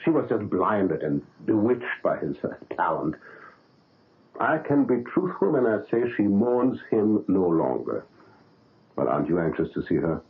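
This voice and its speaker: Pushy doctor, low voice that swoops all over, tinny old radio sound. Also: male voice, very animated, a little slow. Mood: insistent. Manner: coaxing, insistent, theatrical. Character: proud, paternal German surgeon, older man. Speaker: surgeon. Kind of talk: 1970s radio drama acting. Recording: narrow phone-like old radio broadcast.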